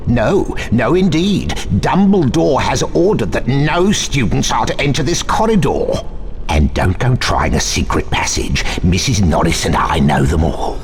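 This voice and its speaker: Nasty old caretaker from a video game, low voice that swoops all over, gloating, bit of noise. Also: male voice. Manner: gloating, drawn-out taunting. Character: sneering, menacing old school caretaker, obsequious to superiors. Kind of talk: video game character acting.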